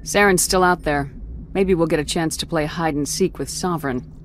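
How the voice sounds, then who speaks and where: A woman's voice: low-pitched, normal speed, a little hiss or hum, natural voice. An actress voicing a resolute female starship commander in a video game, speaking in a firm, level, direct way. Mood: commanding. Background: game music.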